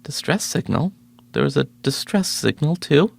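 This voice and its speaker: A guarded research scientist from a video game, dry and sceptical. The voice is heavily creaky and husky, with lively swings in pitch. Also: male voice, normal speed, exaggerated voice.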